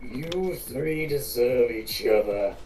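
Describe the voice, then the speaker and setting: A woman voicing a murderous, scornful male fantasy villain in a slow, menacing, theatrical way for a fan-story reading. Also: rumbling low, lively intonation, warm tone, pitched below the performer's own voice, full character voice. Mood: contemptuous. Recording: roomy echo, music behind.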